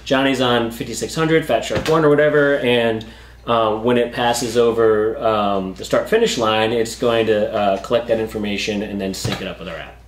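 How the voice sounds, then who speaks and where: A man's voice: on the low side, steady tone, moderate pace. A man in his forties giving a conversational, explanatory product interview as a guest, peppered with filler phrases like "you know.". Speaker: guest, drone app company representative. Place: indoor workshop with hard walls. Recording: roomy echo, clear background noise, clicks.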